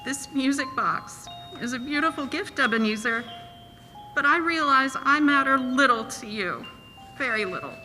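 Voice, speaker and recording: A woman's voice: high-pitched, animated, normal speed, pushed and bright. An actress playing a sorrowful, tender young woman in a 1940s-style radio play, with an earnest, theatrical delivery. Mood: wounded, reproachful. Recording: reverberant hall, faint music.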